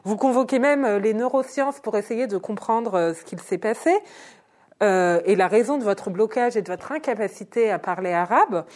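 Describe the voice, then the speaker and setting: A woman's voice: medium pitch, moderate pace, darkish tone. A woman interviewing an author, summing up his points with frequent hesitations. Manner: summarizing, hesitant fillers. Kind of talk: radio interview.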